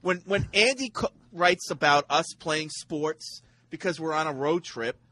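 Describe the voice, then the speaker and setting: A man's voice: a little high, measured pace, sharp tone, dry recording, poor audio. A man co-hosting a sports talk show, pushing his points and often repeating himself. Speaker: co-host. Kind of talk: sports radio banter.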